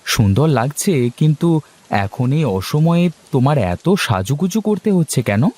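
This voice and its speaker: A man's voice: a little high, very animated, normal speed, darkish tone. Man voicing an exasperated, grumbling village husband. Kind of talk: cartoon dialogue acting.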